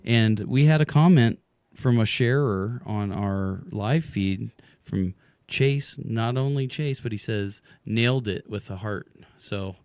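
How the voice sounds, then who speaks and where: Male radio host, slightly hoarse, relaxed rambling chat, low voice. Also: slow, few pauses, no echo. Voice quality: hoarse from smoke. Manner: rambling, relaxed, many fillers. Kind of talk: casual radio interview chat. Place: radio studio.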